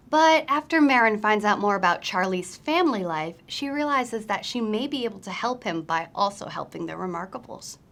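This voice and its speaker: A woman's voice: on the high side, pushed and bright. A woman hosting a book recommendation video, speaking in a scripted presenter style to viewers. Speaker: host of a book recommendation series.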